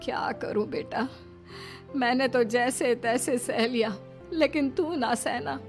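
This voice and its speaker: A woman voicing a meek, long-suffering older mother-in-law in a cartoon, speaking softly and pleadingly over faint background music. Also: sits high, slow. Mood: gentle. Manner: soft and pleading. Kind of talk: cartoon dubbing.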